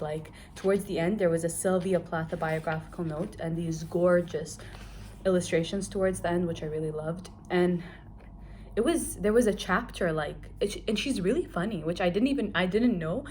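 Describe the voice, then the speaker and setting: A woman's voice: on the low side, moderate pace, a little mellow, slight background noise. A young adult woman from Kuwait giving a chatty, candid book review, talking casually with lots of filler words.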